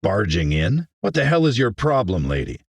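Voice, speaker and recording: A man voicing a gruff, wary survivor in his thirties with a plain, dry manner, in an audiobook. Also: extremely low pitch, moderate pace, dry recording. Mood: angry.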